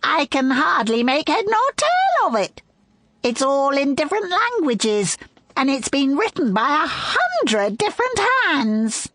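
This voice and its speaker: Storybook raven: old, grumpy, crackly and scornful, high and swooping , put on by a woman narrator. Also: wildly swooping pitch, measured pace, a bit bright, dry recording, heavily acted. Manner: cackling, scornful. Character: sneering cynical old male raven.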